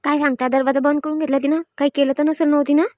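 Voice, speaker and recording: A quarrelsome village woman of cartoon comedy, acted by a woman, high-pitched and quick. She speaks rural Varhadi Marathi with a blunt, exasperated, complaining manner, recorded close and dry. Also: level tone, a little mellow, dry recording, lightly acted. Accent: rural Marathi, Varhadi dialect. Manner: scolding, complaining. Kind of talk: animated family comedy dialogue.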